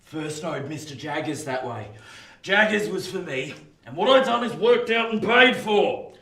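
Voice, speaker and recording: Rough, bright-voiced ex-convict. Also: male voice, on the high side, animated, measured pace, heavily acted. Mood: fond, proud. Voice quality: rustic, ungrammatical speech. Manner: proud, fond, blustering. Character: rough, devoted older male ex-convict returned from colonies. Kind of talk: radio play acting. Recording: roomy, echoing sound.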